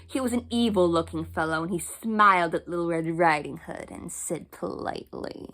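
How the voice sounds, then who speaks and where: A woman's voice: on the low side, moderate pace, a bit bright. A woman narrating a children's bedtime story as she reads from a storybook. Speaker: storybook reader.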